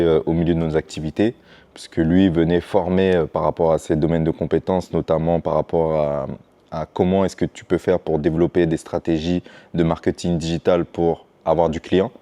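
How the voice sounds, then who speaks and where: Low, mellow Frenchman in an interview. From France, Paris suburbs, Martinican family. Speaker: podcast guest, financial educator. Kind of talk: casual interview, recounting his path.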